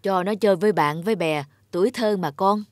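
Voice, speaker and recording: A woman voicing a kindly, indulgent old village grandmother in a cartoon, gentle and soothing. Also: medium pitch, fairly quick, dry recording, studio quality, natural voice. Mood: tender. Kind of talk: dubbed cartoon acting.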